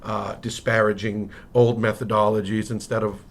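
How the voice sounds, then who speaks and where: A middle-aged man from Miami with an American accent, telling anecdotes as an interview guest in a halting, conversational way that often circles back to rephrase. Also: fairly low pitch, flat delivery, gravelly. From Miami, United States. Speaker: interview guest, history professor. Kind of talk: casual interview, anecdotal storytelling. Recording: noticeable background noise.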